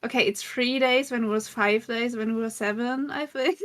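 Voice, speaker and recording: A woman's voice: a little high, fairly even intonation, normal speed, fairly bright tone. A woman game developer talking casually about the team's work in a group Q&A. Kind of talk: casual recollection in group chat.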